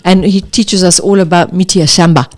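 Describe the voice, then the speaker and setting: A woman, speaking as an interview guest and safari guide, telling stories lively enthusiasm. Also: on the low side, fairly quick. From Tanzania. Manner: enthusiastic, animated storytelling. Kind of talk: casual interview talk.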